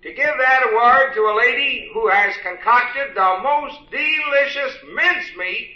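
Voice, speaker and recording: An actor playing a formal, ceremonious American state fair announcer in a 1950s radio musical. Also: male voice, extremely high pitch, measured pace, pushed and bright, heavily acted. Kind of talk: slow public announcement, 1950s radio acting. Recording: echoey, dull vintage radio recording.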